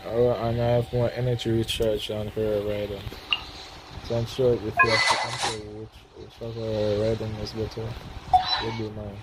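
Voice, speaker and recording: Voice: medium pitch, little pitch movement, moderate pace, very noisy. A livestreamer chatting casually and a bit hesitantly while playing a game. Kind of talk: casual gaming livestream chat. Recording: loud game music behind. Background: game music.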